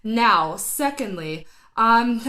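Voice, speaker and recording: A woman's voice: fairly high pitch, expressive, drawn-out words, pushed and bright. A woman giving casual, enthusiastic reaction commentary as a vocal coach, breaking down a singer's technique and thinking aloud with fillers. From not Filipino; studied singing.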